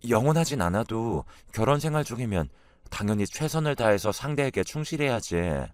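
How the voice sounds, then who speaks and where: A man's voice: medium pitch, animated, brisk, no room sound, studio quality, close to an everyday voice. A man voicing an earnest, naive married working woman, plain and restrained, in dramatised story dialogue. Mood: hesitant.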